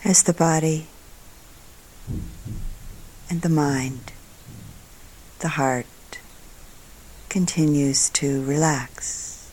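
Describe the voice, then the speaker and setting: A woman guides a meditation at a very slow, deliberate pace. Her voice is soft and calm, at times thinning to a breathy near-whisper. She speaks in short phrases and leaves long pauses between them. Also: low-pitched, rough recording. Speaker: meditation teacher.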